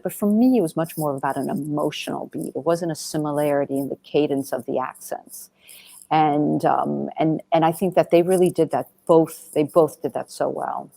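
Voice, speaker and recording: Woman director doing an interview, really deep creaky voice, dry close sound, rambles and rephrases a lot. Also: warm tone, no echo, poor audio. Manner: discursive, often restarts and rephrases, emphatic repetition. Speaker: film director answering questions. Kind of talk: conversational interview answers.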